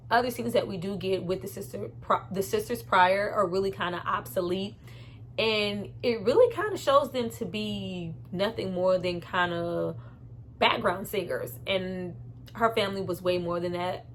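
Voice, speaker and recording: A woman's voice: medium pitch, moderate pace. A woman speaks in a medium register as an opinionated film reviewer. Her delivery is conversational and candid, with emphatic, slangy phrasing. A light layer of noise sits behind her voice. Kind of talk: casual spoken movie review.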